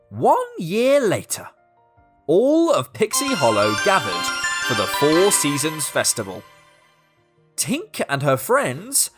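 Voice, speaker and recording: A woman reading a children's story as scripted narration, expressive and deliberate, with dramatic pauses. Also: a bass voice, breathy edge.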